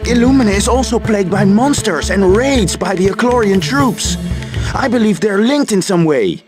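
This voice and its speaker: Earnest, high-voiced scholar. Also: male voice, lively intonation, moderate pace, over music. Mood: earnest, pleading. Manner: hesitant, diplomatic, earnest. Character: earnest, nervous male royal scholar, eager to persuade. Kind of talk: video game cutscene acting.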